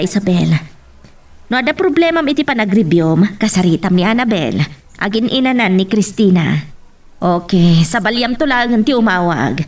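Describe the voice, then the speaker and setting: Animated Ilocano village mother, slightly distant, acted by a woman. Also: fairly high pitch. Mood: fussing. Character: middle-aged village mother and wife, practical, fussing. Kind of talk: Ilocano radio-style family drama acting. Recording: distant mic, faint background noise.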